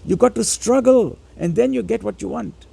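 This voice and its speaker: A man with a high, very creaky voice delivers a motivational Islamic lecture. His tone is husky, and he exhorts listeners with emphatic repetition. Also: moderate pace, warm tone. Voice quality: raspy, gravelly. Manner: emphatic, exhortatory, repeats phrases for stress. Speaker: religious lecturer.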